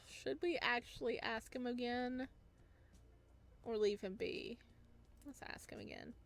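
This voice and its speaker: A woman's voice: a little high, measured pace, vocal fry. Woman reading a visual novel aloud. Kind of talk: reading story text aloud with asides.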